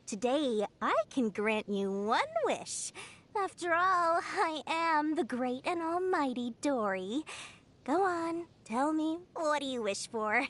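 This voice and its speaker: Very high-pitched greedy little genie girl. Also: expressive, takes it slowly, fairly bright tone, exaggerated voice. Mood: smug, haughty. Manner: theatrical, wheedling salesmanship with indignant outbursts. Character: small greedy boastful girl genie merchant, cheeky and brash. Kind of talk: exaggerated anime video game voice lines.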